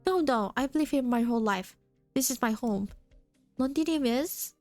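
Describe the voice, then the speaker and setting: A woman voicing a warm, rambling older man who keeps a hotel, with faint music behind. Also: sits high, lively intonation, moderate pace. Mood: fond. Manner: rambling, fond, nostalgic. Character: warm, chatty older male hotel keeper.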